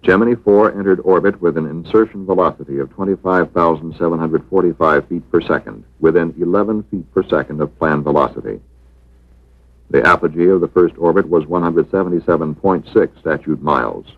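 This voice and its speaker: A man with a very low voice narrates a documentary from a script. His delivery is measured and matter-of-fact. The sound is thin and boxy, like an old 1960s film reel. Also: narrow, tinny audio.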